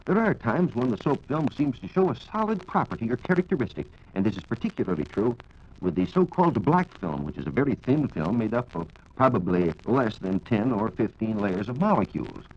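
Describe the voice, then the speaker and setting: A man's voice: mid-range voice, sing-song, fairly quick, breathy edge. An American man working as a science reporter on 1940s radio, giving precise, formal scripted explanations. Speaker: science reporter answering listener questions. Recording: dull lo-fi 1940s radio recording.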